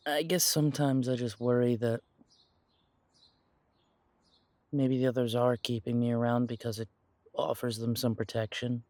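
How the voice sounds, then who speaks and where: A man playing an insecure, defensive werewolf in an audio roleplay, speaking in a halting, stammering way as if confiding in someone close. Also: mid-range voice, level tone, a little mellow, no echo, natural voice. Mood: worried, confiding. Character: insecure pure-blood male werewolf, self-doubting, defensive. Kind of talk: audio roleplay drama, one side of an intimate conversation.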